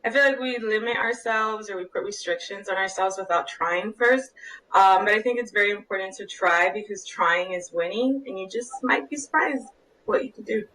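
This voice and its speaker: A woman's voice: medium pitch, normal speed, bright, forceful tone, distant mic, poor audio. A young woman giving a casual interview answer as an intern guest.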